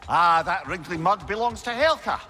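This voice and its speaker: Bright, wry talkative sidekick. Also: male voice, sits high, animated, moderate pace, long reverb tail, a little hiss or hum. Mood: wry, teasing. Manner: wry, chatty, wordy turns of phrase. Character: wry, talkative, worldly companion and lore-teller. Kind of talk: cinematic video game acting.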